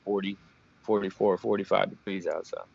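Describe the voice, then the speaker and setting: Young guy from virginia, chill fighter chatting on a video call, lowish voice, flat muffled sound. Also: normal speed, creaky, slightly rough. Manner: relaxed, easygoing, laughs often. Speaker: bare-knuckle fighter, guest. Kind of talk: casual remote interview. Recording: muffled dry call audio.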